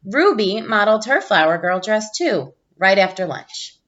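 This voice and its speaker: A woman's voice: medium pitch, moderate pace. Woman reading a picture book, slightly muffled sound. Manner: animated character voices, clear storytelling emphasis. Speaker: teacher reading aloud. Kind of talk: expressive children's picture book read-aloud.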